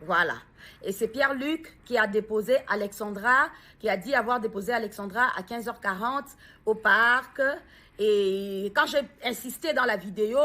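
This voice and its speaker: A woman speaks in a halting, hesitant way, often pausing to search for the right word. She sits at a middle pitch and talks like a bereaved mother giving a personal testimony, earnest and insistent when she raises her questions. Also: pushed and bright.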